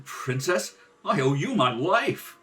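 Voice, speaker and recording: A man's voice: a little high, big pitch swings, slow pace, a bit bright, exaggerated voice. A man playing a brave, earnest young adventure hero in old radio serial style. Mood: earnest, courteous. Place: home kitchen table. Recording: distant home kitchen-table rehearsal recording.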